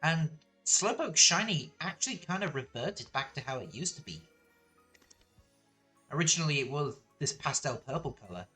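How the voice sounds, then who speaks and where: A man's voice: fairly high pitch, sing-song, normal speed, pushed and bright, faint music behind. A young man casually chatting on a live game stream, rambling and self-deprecating, laughing now and then.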